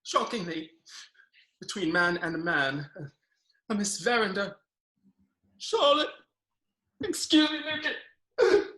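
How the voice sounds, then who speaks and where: A woman's voice: medium pitch, animated, takes it slowly, pauses often, fairly bright tone. A woman playing a gloomy, self-pitying, lovelorn army captain in a Victorian farce reading, melodramatic, recorded in an echoey room. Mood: lovesick. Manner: mournful, melodramatic.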